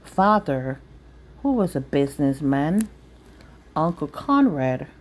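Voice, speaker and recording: A woman reading a children's picture book aloud in a deliberate, expressive storytelling manner. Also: deep voice. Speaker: storytime reader.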